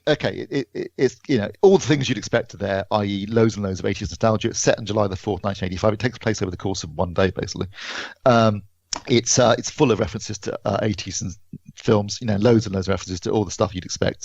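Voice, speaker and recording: Low, gravelly man chatting. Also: no echo, highs cut off. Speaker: podcast reviewer. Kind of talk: casual review chat.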